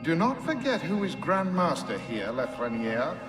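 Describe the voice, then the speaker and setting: Male grand master, game: solemn, authoritative, very expressive pitch, echoing room, loud music. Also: normal speed. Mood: firm, rebuking. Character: stern, dignified grand master of a secret order. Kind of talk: dramatic video game cutscene acting. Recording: echoey hall, loud music underneath.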